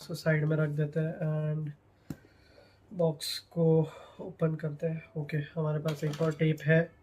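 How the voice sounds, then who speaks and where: Casual Indian reviewer for an unboxing: unhurried, mid-pitched delivery, code-switching between Hindi and English. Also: monotone, a little mellow. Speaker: product reviewer. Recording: clear background noise, handling clicks.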